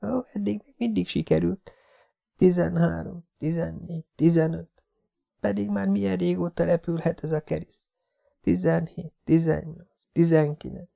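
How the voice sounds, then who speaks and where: A man's voice: fairly high pitch, fairly even intonation, creaky, pitched above the performer's own voice. A man voicing a frightened young woman, counting under his breath and whispering her panicked thoughts in a storybook. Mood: anxious whisper. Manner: breathless, hushed inner counting.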